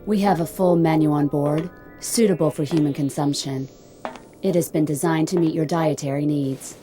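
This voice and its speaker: A woman's voice: deep voice, fairly even intonation, normal speed, music in the background, close to an everyday voice. A woman playing a polite, insistent ship computer in a radio drama, speaking in a formal, clipped, emotionless way. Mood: polite, flat.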